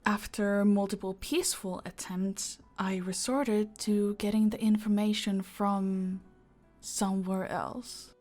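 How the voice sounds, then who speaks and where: A woman playing a calm, dominant female mafia boss in an audio roleplay, teasing and deliberate, often slipping into a breathy whisper. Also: mid-range voice, natural voice. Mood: quietly threatening. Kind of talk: roleplay audio acting, intimate and slow. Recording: music behind the voice.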